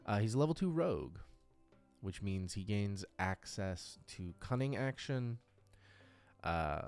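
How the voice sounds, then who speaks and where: Man, low pitch, unhurried pace, casual unscripted game commentary, faint music behind. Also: animated, darkish tone. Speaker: creator and game master of a tabletop RPG audio drama. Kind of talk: casual unscripted commentary while working through character sheets.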